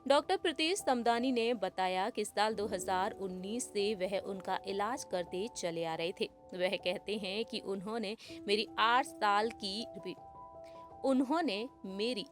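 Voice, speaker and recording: A woman's voice: mid-range voice, measured pace, faint music behind. A woman presenter reading a scripted entertainment news report.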